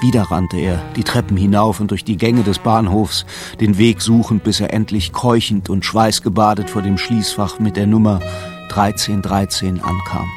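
An actor as the narrator of a noir crime thriller, reading scripted literary prose in a measured, suspenseful way. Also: male voice, on the low side, fairly even intonation, close to an everyday voice. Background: music with guitar.

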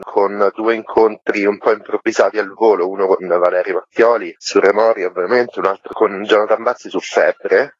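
A man's voice: on the low side, dry recording, lo-fi sound. A man speaking as an interview guest, a curator explaining his project in a conversational way.

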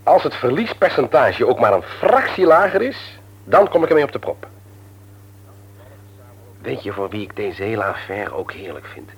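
An actor in a Dutch radio play, playing a self-pitying, self-satisfied husband and office boss with a husky voice. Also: male voice, on the low side, sing-song, moderate pace, pauses often, full character voice, poor audio.

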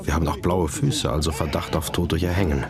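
A man's voice: rumbling low, fairly even intonation, fast, a crowd in the background. A man playing a dry, methodical, matter-of-fact forensic examiner in a radio drama, with music behind his voice. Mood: dry, probing.

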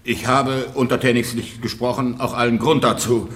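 An actor playing a rich, disgruntled peasant husband in a German radio play, blunt, grumbling and coarse-spoken. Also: male voice, medium pitch, steady tone, moderate pace, fairly bright tone, natural voice. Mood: exasperated.

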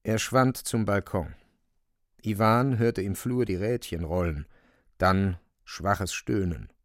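An actor narrating a literary radio drama as a grave storyteller, measured and dramatic. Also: male voice, on the low side, dry recording, studio quality. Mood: grave, suspenseful.

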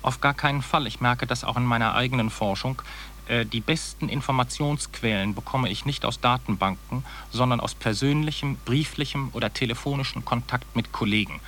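Academic guy being interviewed, middle pitch, wordy and emphatic, critical, noisy 80s tape. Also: a little fast, a bit bright. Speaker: academic researcher, interview guest. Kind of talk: expert radio interview. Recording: noisy digitized 1980s cassette.

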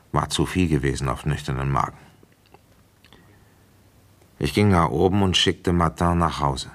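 Weary male detective, very deep creaky voice, reflective, deliberate pauses, radio drama narration. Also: fairly bright tone, exaggerated voice. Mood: musing, woozy. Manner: deliberate, reflective, pausing mid-thought. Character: weary, brooding French village police inspector, male. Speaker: actor playing a police inspector. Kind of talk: first-person radio play narration and dialogue.

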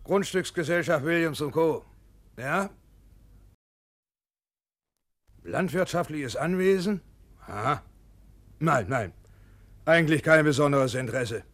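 An actor playing a grasping, grudging property dealer on the telephone in a 1950s German radio play, fussy, dismissive and repetitive. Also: male voice, fairly high pitch.

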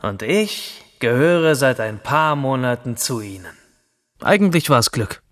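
A man's voice: medium pitch, no echo, clean studio sound, close to an everyday voice. An actor playing an obsessed, detached young man of a future elite, delivering a brooding, introspective monologue in a German radio drama.

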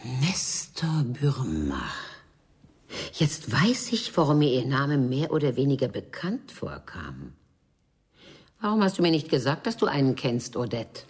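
Mature bourgeois madame for radio crime play: extremely low, husky, disdainful, sweeping dramatic intonation. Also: female voice, huge pitch swings, measured pace, heavily acted. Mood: guarded, curious. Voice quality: husky, breathy. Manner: haughty, theatrical, swooping between indignation and hushed tones. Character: haughty mature Parisian bourgeois madame, disdainful, betrayed wife.

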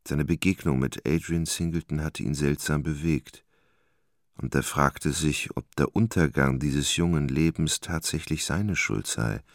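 A man narrating a literary radio drama in a measured, dramatic way. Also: extremely low pitch, gravelly, no room sound, studio quality.

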